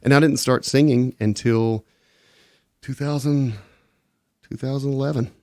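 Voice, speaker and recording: A man's voice: medium pitch, steady tone, measured pace, a little mellow, no echo, studio quality. A man guest on a casual podcast, telling long stories with many restarts. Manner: rambling, self-interrupting storyteller.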